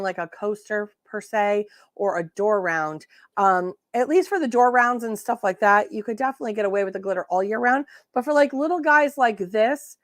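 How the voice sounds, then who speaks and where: Hoarse woman hosting a crafting live. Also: a little high, no room sound, clean studio sound. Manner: sassy, chatty, self-correcting. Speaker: host. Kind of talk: casual livestream crafting tutorial.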